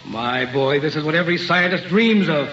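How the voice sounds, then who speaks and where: An actor playing a determined, curious professor leading an expedition in an old-time radio drama, grave and earnest in delivery. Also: male voice, a little high, expressive, normal speed, background noise, close to an everyday voice. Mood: eager. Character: determined, curious male scientist professor, middle-aged expedition leader. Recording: muffled vintage radio recording.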